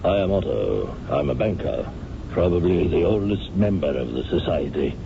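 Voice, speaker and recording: A man's voice: deep voice, expressive, moderate pace, gravelly. An actor playing the oldest male member of a secret society, a genial, slightly flustered banker. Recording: muffled hissy old radio broadcast.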